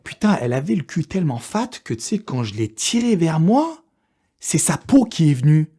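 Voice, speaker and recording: A man's voice: on the high side, big pitch swings, moderate pace, dark tone, slightly rough. A young man chatting casually to his online followers in a slangy, crude, joking manner. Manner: joking, crude, prone to breaking into laughter. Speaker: online video creator.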